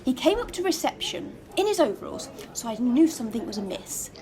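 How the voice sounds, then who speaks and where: A woman's voice: high-pitched, big pitch swings, a little fast, dark tone. A woman playing a genteel, gossipy hotel receptionist in an audio drama, prim and coy. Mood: coy gossiping.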